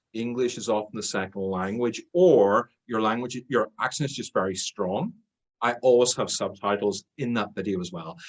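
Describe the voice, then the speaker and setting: A man's voice: fairly low pitch, slightly rough, poor audio. A man with an Irish accent, talking energetically as a panelist in a sales webinar. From Ireland. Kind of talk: webinar talk.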